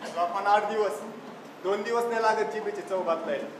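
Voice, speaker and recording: Man acting an earnest high-voiced village schoolteacher. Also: normal speed, natural voice. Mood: worried. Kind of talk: stage play acting. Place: theatre stage at a youth festival. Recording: distant echoey stage, noisy hall.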